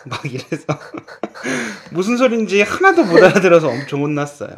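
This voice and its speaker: Lively, teasing Korean man. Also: normal speed. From Seoul. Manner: teasing, laughs often, lively pitch. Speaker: co-host, Korean teacher. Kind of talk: casual language-podcast chat.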